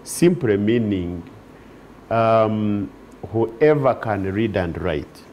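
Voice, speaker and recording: A man speaks as a union leader on a studio talk show, picked up on a lapel mic. He talks very slowly in a formal, measured way with a somewhat low voice. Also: expressive, dull audio, slight background noise. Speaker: teachers union general secretary. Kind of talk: TV studio interview. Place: TV studio set.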